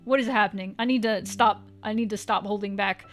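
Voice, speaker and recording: A woman's voice: mid-range voice, steady tone, a little fast, faint music behind. A woman livestreaming, chatting casually with viewers in a playful, teasing way and swearing now and then.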